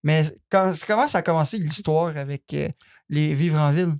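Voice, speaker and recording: A man's voice: fairly high pitch, moderate pace, clean tone. A man hosting a podcast, asking friendly, hesitant questions. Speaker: podcast host. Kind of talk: casual interview chat.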